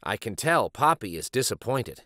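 A man in his forties reading a children's picture book aloud in an expressive, deliberate storytelling style. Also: on the low side, dry recording, clean studio sound. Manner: animated, deliberate storytelling delivery.

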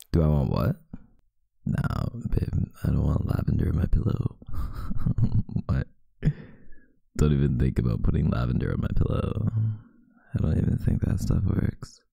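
A man playing a sleepy, teasing, affectionate boyfriend in an intimate ASMR roleplay, murmuring drowsily with husky breath and hesitant pauses, close to the mic. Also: very deep voice, dark tone, no room sound, full character voice. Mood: playfully grumpy. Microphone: close mic, dry.

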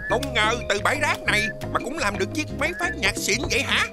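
A woman voicing a small, cute, boastful and eager talking cat in an animated cartoon. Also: a little high, quick pace, close to an everyday voice. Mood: amazed. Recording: loud music behind.

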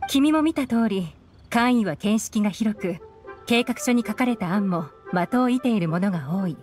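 An actress playing a composed, shrewd investigator in a Japanese video game, assured and direct. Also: female voice, mid-range voice, expressive, moderate pace, darkish tone, soft background music, natural voice. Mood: cool, composed.

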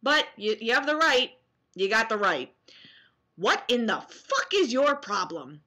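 A young American woman reacting casually to comments she reads aloud, sarcastic and animated, at home on a webcam mic. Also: on the high side, a little slow. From New Jersey, US. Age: young adult. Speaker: vlogger. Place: small room at home, indoors.

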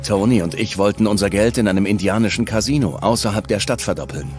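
A man's voice: on the low side, fast, darkish tone, natural voice. A man playing a reckless, frustrated young man in debt, telling his side defensively in a scripted true-crime dramatization. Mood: desperate. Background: music.

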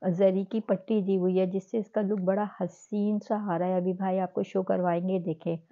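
A woman's voice: medium pitch, little pitch movement, moderate pace, warm tone. A woman with a Karachi Urdu accent chatting casually to her viewers while showing dresses in a clothing shop. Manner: chatty, addresses viewers as sisters. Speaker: shopping vlogger. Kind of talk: casual shopping walkthrough. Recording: phone-like narrow sound.

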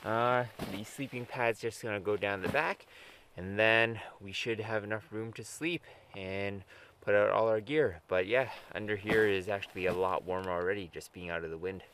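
A man's voice: fairly low pitch, normal speed, fairly bright tone, slight background noise. A man casually explaining his winter camp setup outdoors at a snowy campsite, vlog style. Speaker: outdoor vlogger.

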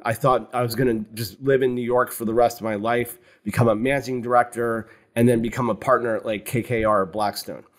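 A man in his fifties, a fund founder, talking through his career as an interview guest in a calm, explanatory way over a video call from a home office. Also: mid-range voice. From United States. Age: 50s. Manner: measured, explanatory, with frequent "you know". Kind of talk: conversational interview. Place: home office with wooden cabinets. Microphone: video call webcam.

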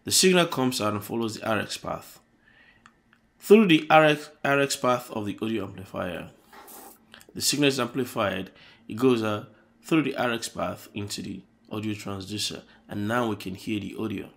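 A man hosting an electronics tutorial, explaining circuit diagrams on paper in a halting, self-correcting way. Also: fairly low pitch, creaky.

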